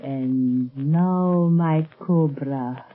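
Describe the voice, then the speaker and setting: An actress playing an exotic, coolly menacing cobra-charming villainess in formal, deliberate, taunting 1930s radio drama style, on a muffled old recording. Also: female voice, deep voice, full character voice.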